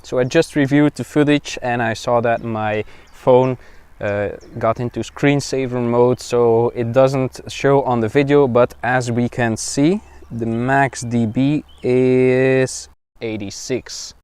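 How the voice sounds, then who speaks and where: A man with a clear, smooth voice of average pitch talks through a hands-on review like a vlogger. His delivery is casual and somewhat halting, as if working out the explanation while he goes. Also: fairly even intonation, darkish tone, dry recording. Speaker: vlogger reviewing a motorcycle exhaust.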